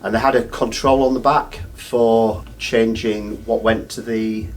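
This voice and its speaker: Lower-pitched male interviewer in a noisy hall. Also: steady tone, a little slow. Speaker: interviewer, hi-fi reviewer. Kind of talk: conversational interview questioning. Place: busy hi-fi trade show. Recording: clearly noisy trade show ambience.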